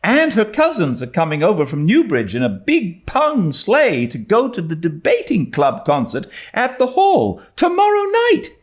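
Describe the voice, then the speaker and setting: Man as a young girl, very high pitch, wildly swooping intonation, effusive, dramatic, eager, storybook character. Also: wildly swooping pitch, moderate pace, hardly any pauses. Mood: excited. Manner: effusive, dramatic, breathless pleading. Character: excitable, pleading, talkative young girl.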